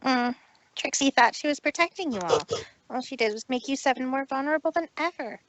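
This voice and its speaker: A woman's voice: high voice, a little fast, a bit bright, no room sound, pitched above the performer's own voice. A woman voicing a proud but troubled female pony magician, theatrical and formal, who speaks of herself in third person. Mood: guilty, distressed.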